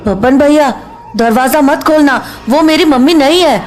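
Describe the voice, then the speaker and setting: A woman's voice: sits high, moderate pace, close to an everyday voice. A woman playing a frightened, earnest young village boy in a horror audio drama, hesitant and stammering. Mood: urgent, pleading.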